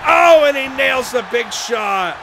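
Hoarse, gravelly man calling wrestling. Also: very high-pitched, slow. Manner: shouting excitedly over the action. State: losing his voice. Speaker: play-by-play commentator for a wrestling video game. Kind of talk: excited wrestling play-by-play commentary. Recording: game crowd noise behind voice. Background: video game arena crowd and sound effects.

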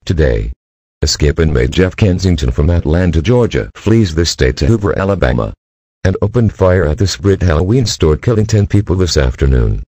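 A man's voice: deep voice, lively intonation, moderate pace, mellow, slightly rough, no room sound. A man voicing a male TV news anchor reading breaking news in a formal newsreader manner. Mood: grave. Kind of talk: mock TV news broadcast.

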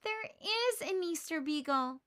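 A woman's voice: very high-pitched, lively intonation, a little slow, a bit bright, voice pushed up, exaggerated voice. A woman voicing an indignant little girl from a storybook, scolding and pointed. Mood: smug.

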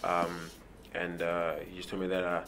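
A young South African man with a very low voice gives a relaxed, rambling interview answer, stumbling over repeated words and leaning on "you know". He sits in front of a press backdrop. Clear music plays under his voice throughout. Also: normal speed. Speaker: rugby player.

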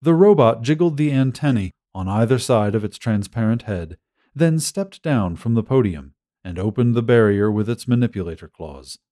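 A man's voice: mid-range voice, lively intonation, moderate pace, warm tone. A man reading a scripted short story as an audiobook narrator.

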